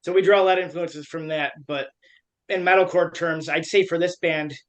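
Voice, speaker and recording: A man in his thirties from Western New York, a metal band member chatting in a relaxed, friendly way. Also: fairly high pitch, fairly quick, low-quality recording. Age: 30s. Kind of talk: casual chat.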